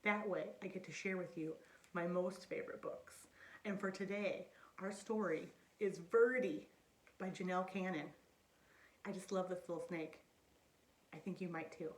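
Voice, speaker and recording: A woman's voice: on the low side, normal speed. An American woman working as a children's librarian, casually introducing a story time. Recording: roomy echo, distant microphone.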